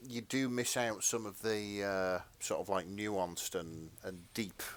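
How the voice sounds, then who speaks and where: Low-voiced man chatting. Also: steady tone, takes it slowly. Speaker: podcast co-host. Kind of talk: casual chat.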